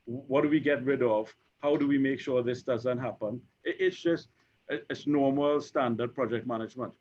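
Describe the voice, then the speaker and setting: A Trinidadian man in his fifties, an insurance executive speaking on a panel, who talks haltingly with many repeated words and fillers. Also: medium pitch, steady tone, a little mellow. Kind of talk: conversational panel discussion. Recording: dull lo-fi recording.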